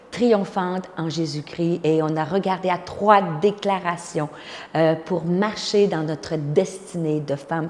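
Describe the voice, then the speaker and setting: Québécois woman, lowish voice, long reverb. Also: a little mellow. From Quebec, Canada. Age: 50s. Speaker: pastor and conference speaker. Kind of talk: personal testimony with encouragement.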